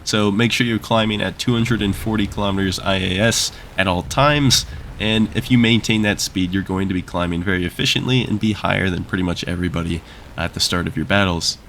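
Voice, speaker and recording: A man's voice: low voice, a little fast, fairly bright tone, a little hiss or hum. Congested, nasal-sounding man. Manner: casual, explanatory, rambling asides. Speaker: gaming YouTuber. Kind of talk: gameplay commentary.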